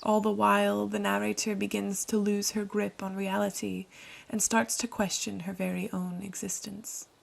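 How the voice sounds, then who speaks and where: A woman hosts a podcast with a scripted introduction. Her voice sits at a middling pitch with pronounced creak. She reads in a measured, composed way. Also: little pitch movement. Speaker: podcast host and radio-play co-writer.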